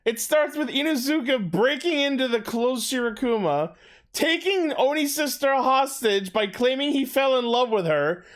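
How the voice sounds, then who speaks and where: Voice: sits high, lively intonation, normal speed. A podcast host chatting casually through a TV recap in a sweary, incredulous, exasperated way. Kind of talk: casual recap chat about a TV show.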